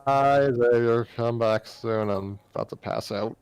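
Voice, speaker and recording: A man's voice: medium pitch, unhurried, darkish tone, smooth. A man co-hosting a casual story podcast, chatting informally with friends about what was read. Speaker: podcast co-host and story reader. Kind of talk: casual group discussion after reading fan fiction aloud.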